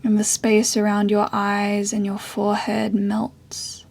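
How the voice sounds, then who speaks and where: Slow, soft woman guiding meditation. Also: mid-range voice, monotone. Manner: soft, breathy, soothing delivery with long pauses. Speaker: yoga and meditation teacher. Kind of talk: guided meditation.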